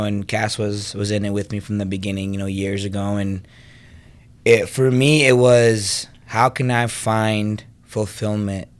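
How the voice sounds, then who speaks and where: A man in his thirties from Venezuela, a podcast guest telling his own story in a casual, conversational way, often saying "you know". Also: lowish voice. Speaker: podcast guest, fitness business owner and trainer.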